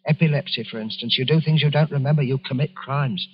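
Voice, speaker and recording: Radio play role for a man: unsteady, lonely little salesman, deferential and halting, on a dull vintage recording. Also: medium pitch, natural voice. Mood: confessional. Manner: hesitant, nervous, deferential. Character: timid, lonely, unstable travelling salesman, self-pitying. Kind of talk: old-time radio drama acting.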